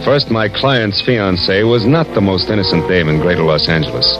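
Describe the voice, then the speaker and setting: A man's voice: sits low, moderate pace, lightly acted. A man playing a tough, wisecracking American private detective in a hardboiled 1940s radio drama, clipped and sardonic. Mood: wry, grim. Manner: clipped, hard-boiled, sardonic. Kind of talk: 1940s radio acting, hardboiled first-person narration. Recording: dull vintage radio recording with music.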